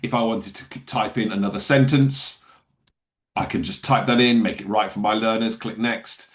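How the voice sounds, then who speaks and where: A man walking viewers through software in a webinar, talking casually and loosely with fillers and self-corrections. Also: lowish voice, steady tone, normal speed. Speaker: software trainer. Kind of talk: webinar screen-share walkthrough.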